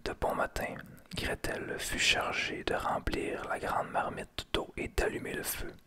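A man reading a fairy tale aloud in a soft ASMR whisper. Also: measured pace. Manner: unvoiced whisper throughout. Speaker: storyteller.

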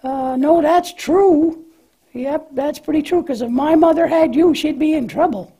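A woman's voice: high-pitched, a little slow, warm tone. A woman voicing a cheerful, naive young lamb in a children's church puppet show, hesitant and questioning in a childlike way. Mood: puzzled, chatty.

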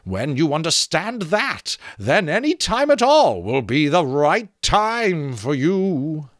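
A man performs a talking skull from a fantasy audiobook, pitched well up and swinging widely. It is wheedling, teasing and worldly-wise, and it draws words out with theatrical relish. The sound is close and dry. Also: big pitch swings, takes it slowly, dry recording. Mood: instructive.

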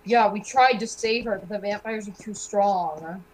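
A woman acting student characters in an amateur game roleplay, halting and repeating phrases. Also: mid-range voice, poor audio.

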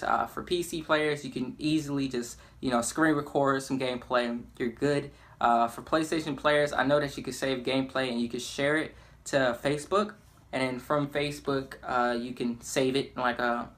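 A young man chatting casually and informally in a rambling storytelling style, as a gaming YouTuber. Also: mid-range voice. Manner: informal, rambling, acts out quotes excitedly. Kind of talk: casual storytelling over gameplay.

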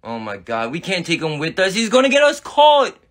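A man's voice: high-pitched, huge pitch swings, a little fast, fairly bright tone, exaggerated voice. A man playing a bossy, irritable teenage brother in a family comedy skit, giving curt orders. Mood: annoyed. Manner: curt, commanding.

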